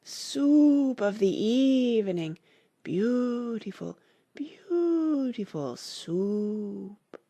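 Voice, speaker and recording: Weepy old turtle, female reader: melancholy storybook song, very slow, creaky, drawn-out. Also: fairly high pitch, lively intonation, mellow, no echo, voice pushed up, full character voice. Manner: slow, drawn-out mournful singing. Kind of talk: audiobook character song.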